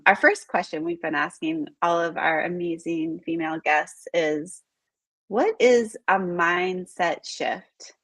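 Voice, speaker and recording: A woman hosting a live online interview in a chatty way, full of "like" and "um", her voice a little raspy from talking all morning. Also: lowish voice, a bit bright, dry recording. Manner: chatty, filler-heavy, says "like" often. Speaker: host of live interview series. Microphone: video call.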